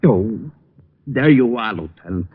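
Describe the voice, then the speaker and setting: An actor playing a dim, eager, easily flustered police sergeant in a 1940s radio detective show, hesitant and whiny when scolded. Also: male voice, on the low side, animated, unhurried. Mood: eager, dutiful. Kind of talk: 1940s radio detective comedy-drama acting. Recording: muffled, noisy old radio recording.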